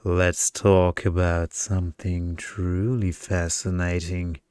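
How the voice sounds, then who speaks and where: A man voicing a dull, smug energy vampire in a monotone, self-important way for a story. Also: sits low, slow, clean tone, no echo, clean studio sound. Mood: cold, menacing.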